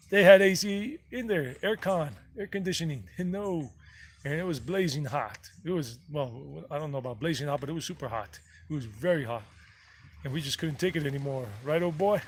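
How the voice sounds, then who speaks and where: A man's voice: a little high, slight background noise. Rambling man chatting outdoors. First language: English. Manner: loose and digressive, repeats figures, self-corrects. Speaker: travel vlogger. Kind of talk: rambling casual livestream chat. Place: outdoors on mountain property.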